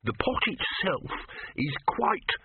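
A man's voice: a little high, big pitch swings, takes it slowly, slightly rough, no echo, pitched above the performer's own voice, heavily acted. A nervous, fussy male bursar of wizards in a comic fantasy audiobook, as a man plays him. His raised voice quavers and hesitates. The recording has a narrow, phone-like sound. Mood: puzzled.

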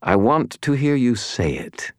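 A man's voice: low voice, very animated, a little slow, no echo, studio quality, voice pushed up, heavily acted. A man voicing a smug, gloating villain in an audiobook, taunting and self-satisfied. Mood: smug menace. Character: smug, gloating male villain holding captives. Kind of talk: audiobook character voice.

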